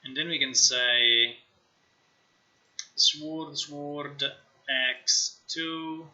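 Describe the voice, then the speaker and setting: Sharp-voiced man chatting on a livestream. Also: on the high side, very slow, muffled recording. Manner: informal, excitable, repeats words and phrases. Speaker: live streamer, game developer. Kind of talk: casual livestream chat, thinking aloud while working.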